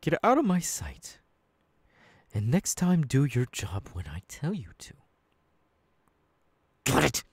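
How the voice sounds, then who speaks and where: A man playing a menacing, unhinged young supervillain boss in an ASMR audio roleplay, threatening his underlings slowly and deliberately. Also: mid-range voice, wildly swooping pitch, slightly rough, dry recording, full character voice. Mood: threatening anger.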